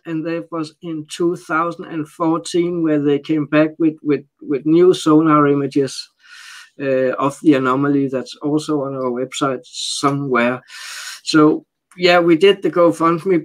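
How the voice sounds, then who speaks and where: A man's voice: fairly high pitch, rough recording. A man from Denmark with a Danish accent speaking English as a second language in a casual interview, often searching for his words. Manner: halting, searches for words while translating. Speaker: interview guest. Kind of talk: casual unscripted interview talk.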